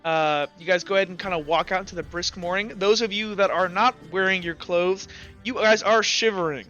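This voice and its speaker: A man's voice: high-pitched, a little fast, sharp tone, dull audio, soft background music. A man as game master, narrating a tabletop game in a chatty, informal, loosely improvised way.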